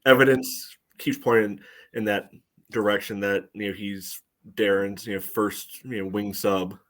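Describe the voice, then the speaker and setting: A man with a fairly low voice co-hosts a sports podcast. His delivery is conversational and dry, with sardonic emphasis on his points. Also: steady tone, unhurried, fairly bright tone. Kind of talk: casual soccer discussion.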